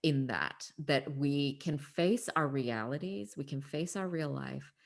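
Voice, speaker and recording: A woman's voice: a bass voice, slow, gravelly. A woman talking through Bible stories in conversation, earnest and emphatic. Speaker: podcast discussion partner.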